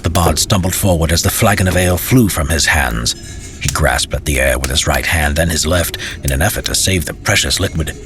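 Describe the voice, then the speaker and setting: A man reading a scripted fantasy story as narrator, in a measured, dramatic storytelling manner. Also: very deep voice.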